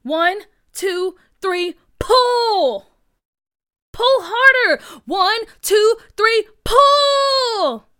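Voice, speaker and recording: Woman as cocky teasing boy, bright voice. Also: a little high, expressive, moderate pace, clear, resonant tone, no room sound, studio quality. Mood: urgent. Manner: slangy, swaggering. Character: cocky teasing boy ringleader with a hidden heart. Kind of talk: acted children's story dialogue.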